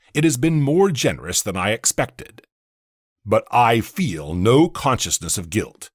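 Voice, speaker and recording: A man playing a solemn, defiant older condemned abolitionist, delivering a deliberate, emphatic courtroom speech in a husky voice. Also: medium pitch, no echo, studio quality. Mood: firm, resolute. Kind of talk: dramatic reading of a historical courtroom speech.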